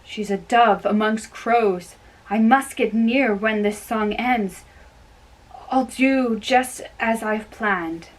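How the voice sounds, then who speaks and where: A woman playing a lovestruck, earnest young man in an amateur dramatic reading of Shakespeare, delivered in a halting, deliberate way. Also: fairly high pitch, low-quality recording. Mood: dreamy, smitten.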